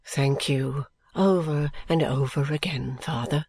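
A dutiful young man from a storybook, acted out by a woman. His voice is lowered and soft, earnest, humble and reverent. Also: measured pace, slightly rough, heavily acted. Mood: grateful, reverent. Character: earnest, deferential young bridegroom, devoted dutiful son. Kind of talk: audiobook character acting.

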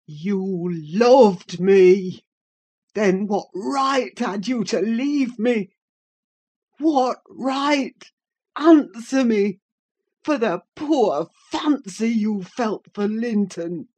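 A woman reader voicing a young man wild with grief, speaking in a harsh, accusing way that rises into shouts. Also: mid-range voice.

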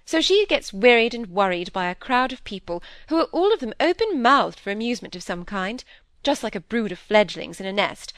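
A woman's voice: mid-range voice, sing-song, a little fast, a bit bright, dry recording. A woman voicing a frank, teasing, world-weary young noblewoman in a period novel, speaking in a breezy, imperious and emphatic way. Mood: playfully exasperated. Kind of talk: audiobook character voice in a period novel.

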